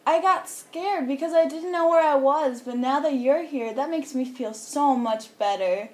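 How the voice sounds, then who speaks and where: A woman's voice: a little slow, a little mellow. A woman voicing a shy, anxious small male animal in a storybook folktale, with emotional delivery. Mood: relieved, grateful. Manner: high, relieved, emotional.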